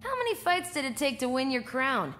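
A woman's voice: sits high, very animated, a little fast. A woman playing a brash young female fighter in a video game, flippant and teasing. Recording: loud background noise.

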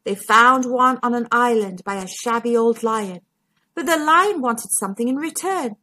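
A woman storytime host with a husky voice, reading a children's story expressively to young listeners. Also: on the high side, moderate pace, bright, forceful tone. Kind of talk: storybook read-aloud narration.

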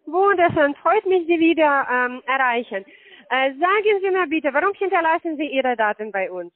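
A woman on a call-center line with a high, chirpy voice. She speaks German with non-native grammar. The sound comes through a tinny telephone. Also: lively intonation, moderate pace. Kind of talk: phone call.

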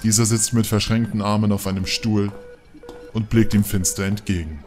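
A male narrator reads an audio drama script. His voice is on the low side, and music plays clearly behind it. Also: fairly even intonation, moderate pace, darkish tone.

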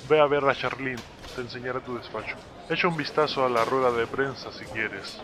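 A man playing a brisk, authoritative middle-aged police captain in a Latin American Spanish video game dub. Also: medium pitch, natural voice. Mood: curt, businesslike. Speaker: fan dub voice actor. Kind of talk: dubbed video game drama dialogue. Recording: noticeable background noise.

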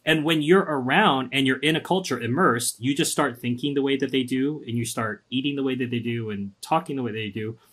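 A man's voice: mid-range voice, brisk, few pauses, slightly rough. A man from Singapore, a podcast guest, talking casually and acting out quoted people with voices. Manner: animated, acts out quotes with voices. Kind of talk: podcast interview, casual storytelling.

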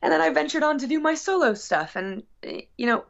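A woman's voice: sits high, lively intonation, fairly quick, gravelly. Woman musician chatting, slightly dull audio. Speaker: musician guest being interviewed. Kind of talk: casual conversational interview.

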